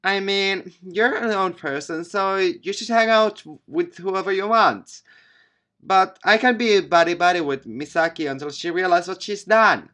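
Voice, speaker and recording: Man putting on a high, stubborn upset teenage girl. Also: unhurried, sharp tone. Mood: angry, resentful. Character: upset teenage girl, stubborn, grudge-holding childhood friend.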